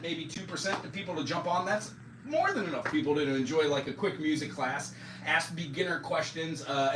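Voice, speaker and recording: A man's voice: a little high, fairly bright tone, slight background noise. A man in his forties chatting casually on a live stream as a music teacher. He talks loosely and pokes fun at himself, with plenty of fillers. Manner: loose and self-deprecating, with many fillers. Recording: roomy echo, distant mic, music underneath.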